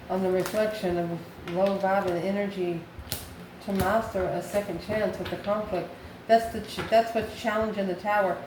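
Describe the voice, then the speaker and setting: A woman from the United States giving a casual, off-the-cuff tarot reading, musing aloud and answering her own questions. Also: mid-range voice. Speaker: tarot card reader. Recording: echoey room, clear background noise.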